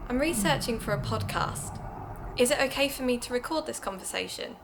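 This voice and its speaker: British podcast sleuth, slightly creaky voice. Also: female voice, a little high, expressive, brisk, background noise. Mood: polite, inquiring. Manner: conversational, observant, confiding. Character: Gemma, keen amateur investigator podcast host, chatty woman. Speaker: podcast host and narrator. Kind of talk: audio drama acted as first-person podcast narration.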